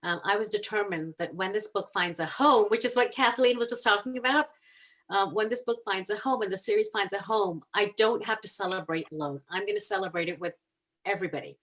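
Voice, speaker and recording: A woman's voice: mid-range voice, brisk, fairly bright tone. A woman from Hong Kong, a guest author, telling her story in casual chat over a video call with earnest animation and many ums.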